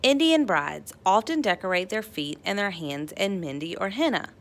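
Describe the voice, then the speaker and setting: A woman narrates a picture book for children in a bright, forward voice. Her pitch moves in lively swings, and she leans into key words, stretching some out playfully for dramatic effect. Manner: animated, dramatic emphasis, playful drawn-out words. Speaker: storybook read-aloud narrator. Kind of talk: expressive children's picture book read-aloud.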